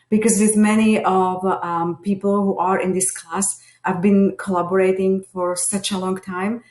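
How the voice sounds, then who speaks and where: A woman's voice: mid-range voice, darkish tone. Slovak-accented woman, echoey room. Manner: earnest, many hesitations. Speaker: teacher lead and behavior analyst. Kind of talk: class introduction video.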